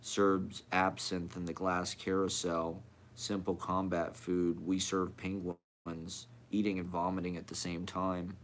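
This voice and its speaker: A man's voice: low-pitched, flat delivery, a little slow, few pauses, slight background noise. A man reading poetry aloud as a poet, in short clipped fragments delivered one after another.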